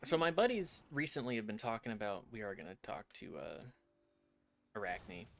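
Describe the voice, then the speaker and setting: A man's voice: on the low side, very animated, normal speed, gravelly, slight background noise. A young man streaming and commenting on a game in a playful, chatty, rambling way. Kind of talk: gameplay commentary.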